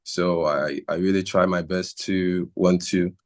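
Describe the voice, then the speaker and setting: A young musician talks through his story in a casual interview. His voice sits low and barely moves in pitch. He speaks earnestly, stopping and restarting as he looks for words. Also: steady tone. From Nigeria. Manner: earnest, halting, circles back on his words. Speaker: musician guest.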